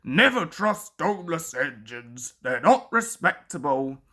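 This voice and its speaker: Woman putting on a pompous, grumbling male steam engine, pitched low. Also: very animated, unhurried, a bit bright, exaggerated voice. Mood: disdainful.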